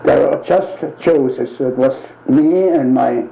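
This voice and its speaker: An older man being interviewed for an oral history, giving short, plain answers dotted with quick yeahs. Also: mid-range voice, vocal fry, reverberant, lo-fi sound.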